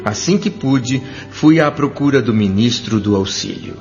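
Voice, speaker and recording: A man's voice: medium pitch, animated, close to an everyday voice. An actor with a Brazilian accent playing an earnest, humble male doctor in an audio drama, speaking formally and politely. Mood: reflective, earnest. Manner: formal, polite, measured diction. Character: André, earnest humble male doctor spirit, newly arrived. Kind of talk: audio drama narration and dialogue. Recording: dull lo-fi audio with music bed.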